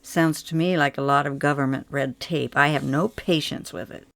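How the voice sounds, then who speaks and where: A woman's voice: deep voice, steady tone, moderate pace, creaky, fairly bright tone, no echo. A woman playing an impatient, suspicious housewife, grumbling and challenging in a scripted training-film role play. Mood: weary, irritated. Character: impatient, suspicious housewife answering a census taker.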